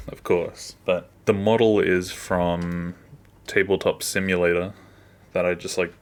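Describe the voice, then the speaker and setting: A man giving a casual behind-the-scenes walkthrough as an animator, offhand and self-deprecating, often trailing off. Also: low voice. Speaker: animator, video creator.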